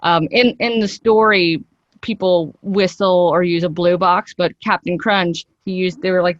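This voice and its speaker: A woman's voice: fairly low pitch, dry recording, lo-fi sound. A woman speaking conversationally as an interview guest, explaining things in a chatty, enthusiastic way. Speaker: interview guest, actress and voiceover artist.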